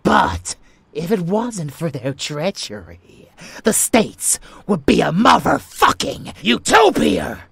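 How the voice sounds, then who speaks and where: A man voicing an unhinged, fanatical vampire lover in dark romance ASMR roleplay, theatrical and menacing yet doting, husky and often dropping to a whisper. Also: high voice, huge pitch swings, no room sound, full character voice. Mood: grandiose, menacing. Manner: theatrical, savoring words, slow with dramatic pauses.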